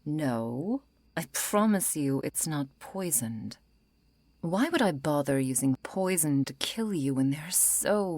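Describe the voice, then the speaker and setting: A woman acting a century-old female vampire in an ASMR roleplay, composed, politely menacing and wry, her soft breathy voice often falling into a whisper. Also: very deep voice, no room sound, full character voice. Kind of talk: ASMR roleplay, intimate scripted monologue.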